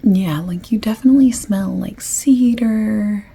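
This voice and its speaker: A woman doing a soft-spoken ASMR shop assistant roleplay, often slipping into a breathy whisper as she explains things in chatty, unhurried detail. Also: mid-range voice. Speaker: ASMR creator playing a perfume shop assistant. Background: light clicking and tapping of items.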